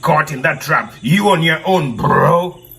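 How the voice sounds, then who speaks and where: A man's voice: fairly high pitch, lively intonation, measured pace, gravelly, pushed and bright, distant mic, heavily acted. A man voicing a stubborn, unbothered goat in a fable, drawling repeated refusals. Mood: dismissive refusal. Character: stubborn dismissive male goat, unbothered, self-preserving.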